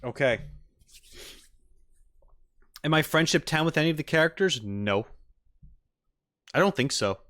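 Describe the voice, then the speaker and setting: A man's voice: on the high side, expressive, unhurried, long pauses, fairly bright tone. A man casually chatting and reacting on a livestream, with easygoing asides muttered to his viewers. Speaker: streamer reacting to game videos.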